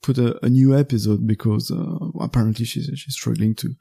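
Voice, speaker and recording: Gravelly Belgian French man, halting. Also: medium pitch, fairly even intonation, dark tone. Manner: halting and searching for words. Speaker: guest podcaster. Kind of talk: casual conversational interview.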